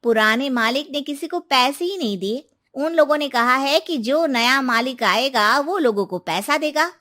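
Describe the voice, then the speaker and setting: A woman's voice: high-pitched, animated, normal speed, sharp tone. A woman playing a gossipy, concerned neighbour in a village tale. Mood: gossiping.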